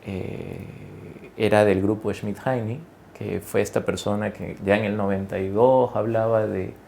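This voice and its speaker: A man in his forties from Ecuador tells his story in an easygoing, rambling way, with frequent fillers and little tag questions. His voice is low, gravelly and mellow. A faint hiss of background noise sits under the recording. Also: steady tone, unhurried. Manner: conversational, many fillers and self-corrections, tag questions. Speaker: guest executive. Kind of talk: interview conversation.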